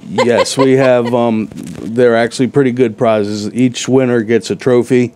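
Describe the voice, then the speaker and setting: A man's voice: mid-range voice, steady tone, measured pace, mellow. A middle-aged man, a guest organizer, explaining event details plainly in a casual studio interview on a lapel mic. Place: TV studio set.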